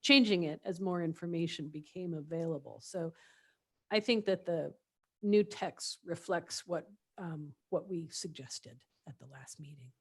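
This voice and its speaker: A woman with a scratchy, fairly low voice speaks at a committee meeting over a video call. She reads in a measured way and lets her phrases fade out at the end. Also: slightly rough. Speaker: committee member. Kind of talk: public committee meeting, reading text aloud.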